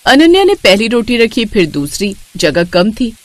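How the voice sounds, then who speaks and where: A woman's voice: on the high side, sing-song, unhurried, no echo. A woman reading scripted narration for a moral cartoon story.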